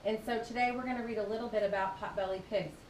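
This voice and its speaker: A woman reads aloud to a classroom of young children, pausing to explain things in simple, conversational terms. Her voice sits fairly high and sounds distant in an echoing room, with a low rumble of noise behind her. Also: steady tone, darkish tone. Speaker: teacher reading aloud to children. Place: indoor room, speaking to a class.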